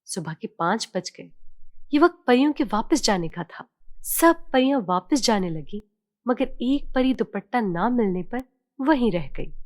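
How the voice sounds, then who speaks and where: A woman's voice: a little high, animated, a little slow, no echo, clean studio sound. A woman narrating a scripted children's story as a storyteller.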